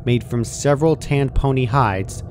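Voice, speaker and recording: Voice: medium pitch, a little slow, noisy background. A narrator reading a scripted horror fan fiction story aloud.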